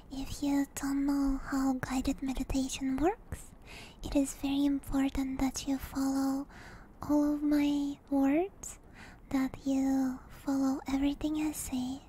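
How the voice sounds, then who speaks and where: A woman streaming ASMR in a soft, breathy whisper, gently and soothingly guiding and chatting close to a binaural microphone. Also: high voice, a little hiss or hum, rough recording. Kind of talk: whispered ASMR guided meditation and chat. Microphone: close binaural ear microphone.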